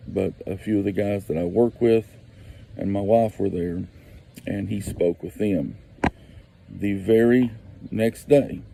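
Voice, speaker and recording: A man telling a local story slowly and deliberately, repeating phrases for emphasis. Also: on the low side, creaky, mellow, a little hiss or hum. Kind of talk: storytelling on a podcast clip.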